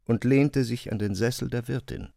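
A man's voice: medium pitch, steady tone, moderate pace, creaky, dark tone, dry recording. A man narrating a literary novel as a scripted reading, close to a studio microphone. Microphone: close, dry studio microphone.

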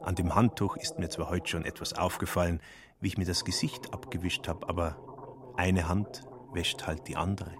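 An actor playing a wary, irritable small-time swindler in a radio play, with a grudging manner. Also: male voice, low voice, fairly even intonation, normal speed, background noise, close to an everyday voice. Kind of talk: German radio play acting.

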